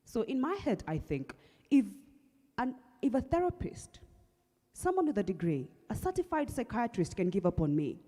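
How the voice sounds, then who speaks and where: A young Kenyan woman with a husky voice, earnestly telling her personal story at an awareness event, with halting restarts. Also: mid-range voice, warm tone. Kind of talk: personal testimony talk. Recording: long hall reverb.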